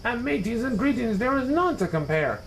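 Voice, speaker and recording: A man's voice: extremely high pitch, very animated, brisk, gravelly, a bit bright, slight background noise, exaggerated voice. A man voicing a pompous, long-winded mayor making grandiose speeches in a storybook. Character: pompous long-winded male mayor, full of hot air.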